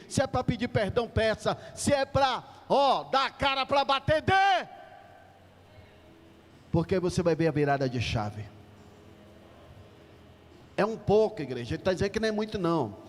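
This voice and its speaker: Pastor man preaching loud n emphatic, high voice, long church echo. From Salvador, Bahia, Brazil. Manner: emphatic, calls out to the congregation. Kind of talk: preaching a sermon. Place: church hall. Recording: church hall reverb.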